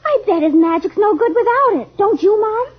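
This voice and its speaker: A woman's voice: extremely high pitch, expressive, normal speed. An actress playing a spirited, outspoken teenage girl in an old-time radio adventure, girlish and emphatic. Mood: suspicious, indignant. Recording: muffled vintage radio recording.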